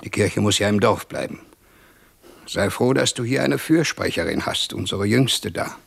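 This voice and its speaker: A jovial, grumbling caretaker and father for a radio play. His voice is raspy and creaky and swings widely in pitch. He teases in a hearty, folksy way. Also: lively intonation, normal speed, full character voice. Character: gruff, jovial, teasing Russian building caretaker and father. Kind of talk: German radio play acting.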